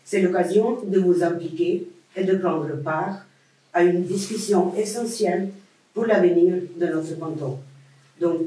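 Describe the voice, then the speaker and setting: An event host reading formal announcements and introducing speakers in a measured way. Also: mid-range voice, warm tone. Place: large hall at an event. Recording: echoey hall, distant mic.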